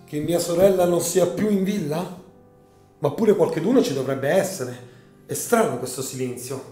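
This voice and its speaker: A man playing an anguished young gentleman in a radio drama, dramatic and emphatic, full of emotion. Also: fairly high pitch, animated, moderate pace, natural voice. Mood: worried. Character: passionate, anguished young gentleman fearing for his sister. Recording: echoey room, music underneath.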